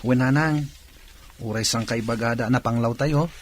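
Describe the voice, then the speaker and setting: A man's voice: medium pitch, normal speed, a little hiss or hum, close to an everyday voice. A man playing an earnest, hopeful son of a poor village family in a radio drama, with an Ilocano accent.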